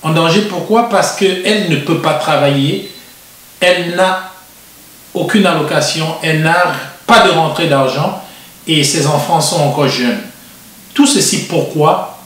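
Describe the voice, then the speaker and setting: A man hosting a TV interview show, speaking in a measured way with frequent hesitations. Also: fairly high pitch, a bit bright. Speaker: TV show host. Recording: roomy echo, distant mic.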